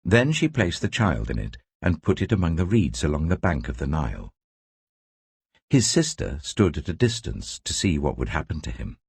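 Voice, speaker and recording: A man reading scripture as a dramatic scripted narration, telling the story in a measured, expressive way. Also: a bass voice, gravelly, slightly rough, no echo. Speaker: Bible narrator.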